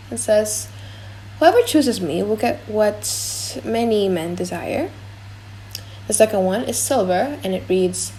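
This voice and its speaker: A woman's voice: mid-range voice, a little mellow, slight background noise, poor audio. A woman playing a poised noble lady, speaking formally in a dramatised audiobook.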